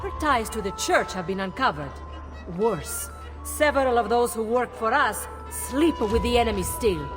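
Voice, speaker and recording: A woman's voice: a little high, lively intonation, normal speed, music in the background, close to an everyday voice. A woman playing a composed, shrewd head of courtesans in a video game, with an Italian accent. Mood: concerned. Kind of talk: dramatic video game cutscene acting.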